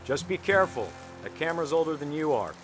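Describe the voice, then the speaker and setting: A man's voice: on the high side, sing-song, fast talker. An actor playing a serious, outdoorsy middle-aged American father in a video game, earnest and instructive. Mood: brisk, cheerful. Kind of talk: naturalistic video game voice acting. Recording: loud music underneath. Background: loud music.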